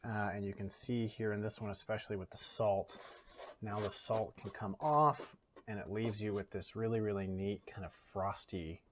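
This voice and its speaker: Man, lowish voice, art teacher, home studio, casual, filler-heavy demo talk. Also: moderate pace, a little hiss or hum. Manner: relaxed, talks through steps with many fillers. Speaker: art educator.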